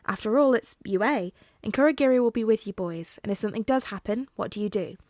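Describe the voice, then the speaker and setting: A woman reading the lines of a calm, wry father in a measured, dry manner for a story. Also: on the high side, dry recording, lightly acted. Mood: reassuring.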